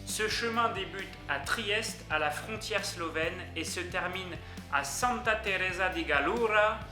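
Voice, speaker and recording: A man's voice: sits high, measured pace. A young man narrating a travel list video in an upbeat way, speaking directly to his viewers. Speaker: YouTuber. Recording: echoey room with music underneath.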